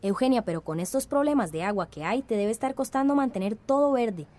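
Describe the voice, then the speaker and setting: An actress playing a thoughtful, questioning grown daughter in a radio drama. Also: female voice, on the high side, expressive, a little fast, a little mellow, natural voice. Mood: pleased.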